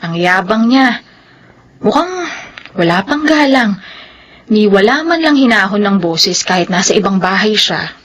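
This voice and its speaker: A woman's voice: medium pitch, expressive, measured pace, pauses often, fairly bright tone. Devoted Filipino widowed mother. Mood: disapproving. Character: devoted, hardworking widowed mother of two daughters, gentle. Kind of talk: Filipino radio drama acting and narration.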